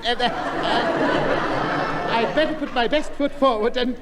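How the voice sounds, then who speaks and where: Flustered, high-voiced English gentleman. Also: slow, a loud crowd. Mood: nervous. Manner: flustered stammering, polite, nervous giggles. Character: nervous, prissy, hen-pecked middle-aged gentleman. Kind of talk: 1960s British radio comedy acting. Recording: noisy, reverberant old radio recording.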